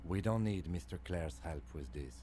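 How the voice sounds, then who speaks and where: A man's voice: sits low, expressive, normal speed, slight background noise, pitched below the performer's own voice. A man doing a curt, stern police lieutenant. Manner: curt, quiet and dry.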